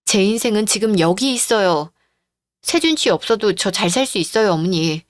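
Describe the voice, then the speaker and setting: A woman's voice: mid-range voice, animated, normal speed, no room sound, clean studio sound, natural voice. A woman playing a devoted, patient middle-aged daughter-in-law and mother in a radio-drama style story. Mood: gentle, resolute.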